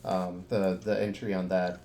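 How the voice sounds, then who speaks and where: A man with a somewhat low voice running a tabletop horror game. He narrates loosely and casually, stopping for ums and restarts as he describes scenes. His tone stays easygoing throughout. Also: little pitch movement, unhurried, warm tone. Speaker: game master.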